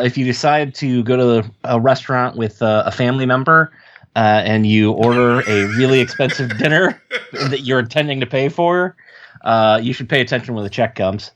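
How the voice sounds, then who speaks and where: Male podcast co-host, Ohio American accent, medium pitch, easygoing anecdotes, casual chat. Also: a bit bright, muffled recording. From Ohio, United States.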